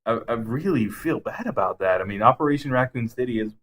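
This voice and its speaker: A man's voice: medium pitch, expressive, fast talker, a bit bright. A man co-hosting a podcast in casual chat about movies, often chuckling as he talks. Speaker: podcast co-host.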